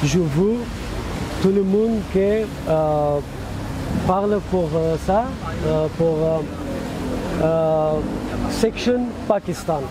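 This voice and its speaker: A man in his twenties from Afghanistan, with an Afghan accent, giving earnest testimony and halting as he searches for words. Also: fairly high pitch. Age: 20s. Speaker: interviewee at a press conference. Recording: clear outdoor ambient noise.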